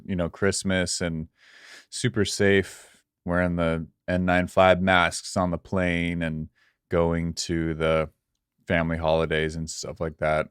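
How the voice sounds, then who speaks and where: A man's voice: low voice, clear, resonant tone, dry recording, studio quality. A man who produces a podcast chats casually, in an easygoing way with lots of fillers. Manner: easygoing, filler-heavy talk. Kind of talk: casual chat.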